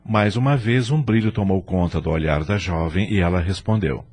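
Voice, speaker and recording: A man's voice: low voice, normal speed, slightly rough. A man reading dramatic scripted narration as the narrator of an audio drama. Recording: loud music under the voice. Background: loud music.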